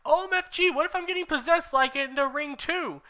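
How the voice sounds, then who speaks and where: A man doing a shrill melodramatic goth teen girl. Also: brisk, sharp tone. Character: whiny teenage goth girl narrator, dramatic.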